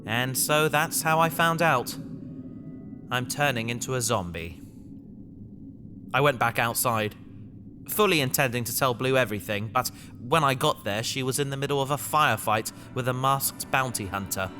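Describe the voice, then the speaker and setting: An earnest, stoic sci-fi hero. His mid-pitched voice is bright and forceful, in audio drama style, with faint music beneath. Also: animated, normal speed. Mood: wry narration. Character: earnest, stoic male space hero facing doom. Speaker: protagonist and narrator. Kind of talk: science fiction audio drama acting.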